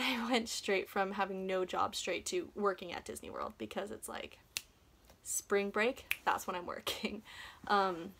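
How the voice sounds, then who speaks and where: A woman's voice: mid-range voice, a bit bright. A young woman talking casually in a chatty, self-deprecating way, like a vlogger sharing advice. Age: young adult.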